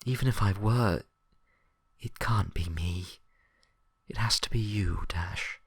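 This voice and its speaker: A man's voice: fairly low pitch, slow pace, breathy edge, dry recording, studio quality, pitched above the performer's own voice, full character voice. A man voicing a stern, pragmatic female unicorn librarian in a story, reasoning firmly and grimly. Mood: grave, persuasive. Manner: sharp-edged, reasoning firmly.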